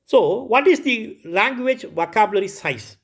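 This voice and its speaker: A man from India with a Tamil-flavoured Indian English accent lectures on a recorded lesson. His voice sits high for a man. He explains patiently and circles back, repeating and correcting himself as he goes. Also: animated, normal speed. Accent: Indian English, Tamil Nadu. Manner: repetitive, self-correcting, explanatory.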